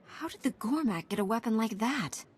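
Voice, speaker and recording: Steady heroic woman Jedi knight. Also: on the high side, moderate pace, husky. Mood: determined, inquiring. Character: resolute young heroic female Jedi knight. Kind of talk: video game voice acting.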